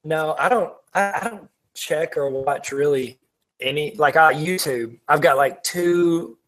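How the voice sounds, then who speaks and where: A man's voice: a little high, a little slow, a bit bright. A man co-hosting a podcast in casual, joking chat, with an easily amused, self-deprecating manner. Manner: laughs often while talking, self-deprecating. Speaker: podcast co-host.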